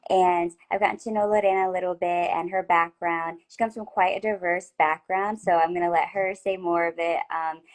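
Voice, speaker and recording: A woman's voice: on the low side, fairly even intonation, a little fast, hardly any pauses. A woman hosting a casual interview over a video call, talking in an affirming, chatty way with frequent restarts. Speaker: host.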